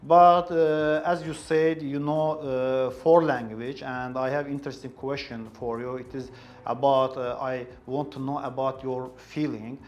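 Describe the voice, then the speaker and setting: Even-toned man. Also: medium pitch, a little slow, lo-fi sound. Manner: hesitant, repeats phrases while searching for words. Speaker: English club host, interviewer. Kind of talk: club discussion in a second language.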